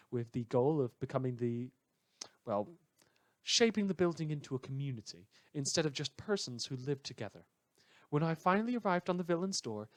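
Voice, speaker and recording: A man's voice: fairly high pitch, fairly bright tone, no room sound. A man voicing an opinionated, chatty radio listener's letter in a fussy, emphatic, declamatory way.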